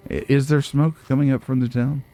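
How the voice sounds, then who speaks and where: A man hosting a let's play with casual, musing commentary, as if thinking out loud to himself. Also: mid-range voice, flat delivery, fairly quick, faint music behind. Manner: musing, nitpicking, talks to himself.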